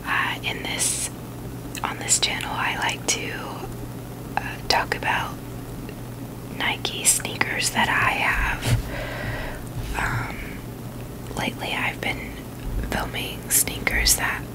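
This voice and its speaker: A woman whispering in an informal, halting ASMR style as she hosts a review video. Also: rough recording. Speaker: video host reviewing sneakers.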